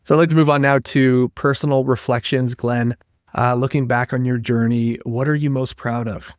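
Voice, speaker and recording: A man hosts with a friendly, conversational manner, pitched in the middle range. His voice is close to the mic and dry, with no room sound. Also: fairly even intonation, moderate pace, smooth, no room sound. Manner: friendly, conversational, reads out resource details. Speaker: podcast host. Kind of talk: interview hosting with scripted info segments.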